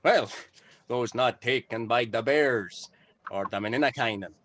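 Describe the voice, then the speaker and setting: A man's voice: mid-range voice, measured pace, a bit bright, lightly acted. A man in an informal table read playing a mild-mannered, matter-of-fact park ranger and guide. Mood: polite.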